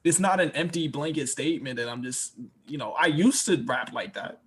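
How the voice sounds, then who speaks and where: A man's voice: fairly high pitch, normal speed. A young man from Washington, D.C., a rapper and producer, talking reflectively as a podcast guest, often restarting and repeating words. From Washington, D.C., USA. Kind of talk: podcast interview.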